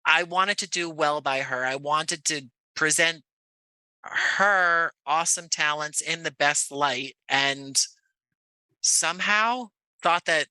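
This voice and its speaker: Man with a very bright, forceful voice. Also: fairly high pitch, slow, smooth, no room sound, rough recording. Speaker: interview guest, actor and audio drama creator. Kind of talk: conversational interview answers.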